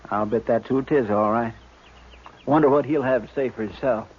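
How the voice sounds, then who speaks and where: Male actor for earnest American frontier helper, mid-pitched, deferential and homespun, in a muffled 1950s radio western. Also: normal speed, lightly acted. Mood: curious, folksy. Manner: folksy, respectful. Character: folksy, deferential marshal's sidekick, earnest and simple. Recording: dull old radio recording, faint noise.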